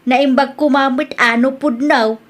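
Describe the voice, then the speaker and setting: A woman's voice: high voice, steady tone, a little slow. A woman with a Filipino Ilocano accent playing a blunt, protective older mother and grandmother in a drama. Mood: gossipy, concerned. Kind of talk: Ilocano radio-style drama acting.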